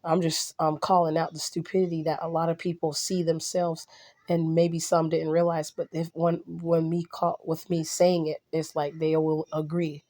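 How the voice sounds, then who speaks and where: A woman vlogging casually to her viewers, a little hoarse and raspy from a cold, rambling with restarts and blunt asides. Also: low-pitched, little pitch movement, normal speed, warm tone. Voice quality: slightly raspy. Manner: blunt and outspoken.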